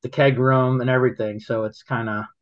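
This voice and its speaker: A man telling stories in casual chat, halting and rambling, laughing often as he goes. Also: medium pitch, little pitch movement, muffled recording. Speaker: guest, local business owner.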